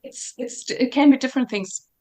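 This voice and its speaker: A woman singer being interviewed, talking thoughtfully about her voice with many pauses and restarts. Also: sits high, a little mellow. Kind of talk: reflective conversational interview.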